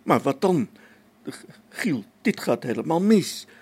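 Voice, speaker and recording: A man's voice: on the high side, sing-song, measured pace, darkish tone, husky, full character voice. An amateur actor in a radio play as an anxious space station technician, a man who stammers and hesitates, agitated. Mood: panicky.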